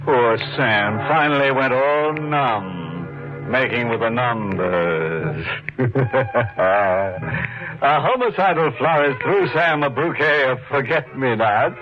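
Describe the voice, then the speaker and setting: Macabre radio horror host, slow mocking drawl, gleeful, muffled vintage sound with music. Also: male voice, medium pitch, lively intonation. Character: ghoulish, gleeful male horror host making macabre puns. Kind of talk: 1940s radio horror hosting. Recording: dull lo-fi radio recording, loud music behind.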